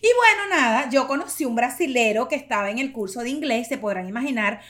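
A woman's voice: on the high side, expressive, quick pace, fairly bright tone, a little hiss or hum. A woman in her forties hosting a podcast, telling stories in a chatty, playful way and laughing often. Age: 40s. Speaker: podcast host. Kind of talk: casual storytelling monologue.

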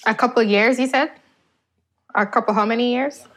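A woman's voice: fairly high pitch, steady tone, fairly quick, a bit bright. Hesitant woman guest from Jamaica. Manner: hesitant, filler-heavy, speaks in restarts. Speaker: podcast guest. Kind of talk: casual conversational podcast.

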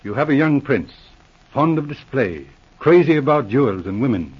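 A dry-witted Mountie sergeant. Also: male voice, mid-range voice, sing-song, normal speed, husky. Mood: dry, patient. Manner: patient, dryly teasing. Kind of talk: 1940s radio acting. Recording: dull lo-fi old radio broadcast.

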